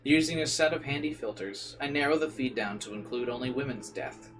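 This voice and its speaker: A man with a mid-pitched voice reads a visual novel aloud in a casual let's play style. He mixes narration with informal asides and stumbles now and then. Faint music plays behind him. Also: a little fast, a bit bright, low-quality recording. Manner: informal asides, occasional stumbles while reading.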